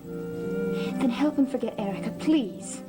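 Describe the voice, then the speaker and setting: A woman's voice: fairly high pitch, expressive, slow pace, over loud music. An actress playing a guarded, earnest young woman in a gothic soap drama, speaking plainly. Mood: wistful, pleading. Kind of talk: 1960s-70s gothic TV soap acting.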